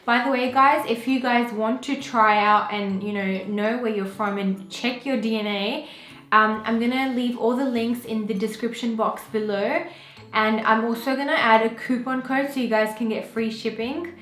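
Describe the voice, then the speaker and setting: A woman's voice: on the high side, fairly even intonation, normal speed, a bit bright. A young woman from Pakistan vlogging casually to camera, chatty and full of fillers like "um" and "you know". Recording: echoey room with faint music.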